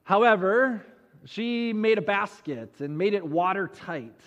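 Man, preacher, high-pitched voice, conversational sermon storytelling, rhetorical questions, dramatic pauses, slightly muffled audio. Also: animated, a bit bright. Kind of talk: sermon retelling a Bible story. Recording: muffled audio with long hall reverb.

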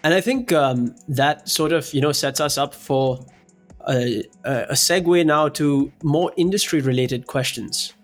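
A man's voice: fairly high pitch, measured pace, soft background music. A man hosting an interview, asking questions in a friendly way and affirming the guest's answers. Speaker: interview host.

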